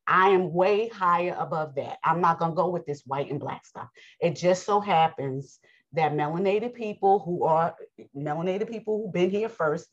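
A raspy, deep-voiced woman from the United States teaches scripture with emphatic, preachy force. Her strained voice stays low, and she keeps clearing her throat. The recording sounds dull and lo-fi. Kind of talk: bible lesson with commentary.

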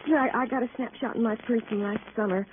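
An American actress playing an anxious, distraught young working woman in a 1940s radio drama, speaking in halting, emotional, repeated phrases. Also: on the high side, steady tone, natural voice. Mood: shaken, recounting. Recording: old radio recording, narrow band, hiss.

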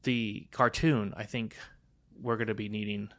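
A man's voice: fairly low pitch, steady tone, unhurried, a bit bright, no echo. A man co-hosting a podcast, talking frankly and chattily with stumbling restarts. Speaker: podcast co-host. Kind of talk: casual chat.

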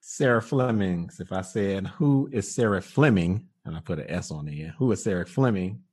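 A man's voice: deep voice, expressive, moderate pace, a little mellow, breathy edge. A man hosting a podcast, friendly and upbeat as he interviews former students. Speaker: podcast host. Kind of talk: casual interview.

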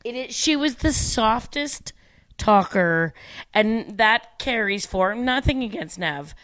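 A woman, an actress, telling loud, emphatic anecdotes in casual chat. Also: on the high side, lively intonation, takes it slowly. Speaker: actress, guest.

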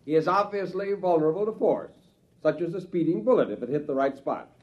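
Guy playing earnest prof giving a talk in old radio play, raised pitch, muffled sound. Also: lively intonation, lightly acted. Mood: measured. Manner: deliberate, explanatory. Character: earnest male professor addressing worried meeting. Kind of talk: old-time radio drama acting. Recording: muffled vintage radio recording.

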